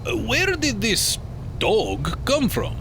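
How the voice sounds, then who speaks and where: A man's voice: high voice, wildly swooping pitch, slow, noisy background, exaggerated voice. A man playing a dry, wry space station crewman who speaks clipped, broken English in a sci-fi audio drama. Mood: wry, puzzled. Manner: clipped grammar, dropped articles, dry.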